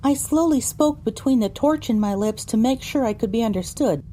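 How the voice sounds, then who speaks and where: A woman's voice: fairly high pitch, moderate pace, mellow, a little hiss or hum, natural voice. A woman narrating a first-person story for an audio drama, reading descriptively with dramatic phrasing.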